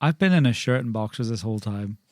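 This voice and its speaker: A man's voice: on the low side, lively intonation, fast, darkish tone, no echo, clean studio sound. A man improvising reactions in character as a player in a tabletop role-playing game.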